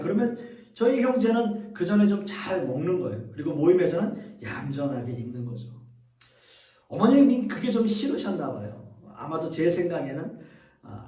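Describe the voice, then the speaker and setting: Animated Korean pastor in an echoing church. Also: male voice, fairly high pitch, recorded from a distance. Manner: earnest preaching, addresses the congregation directly, leans on repeated phrases. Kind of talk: sermon.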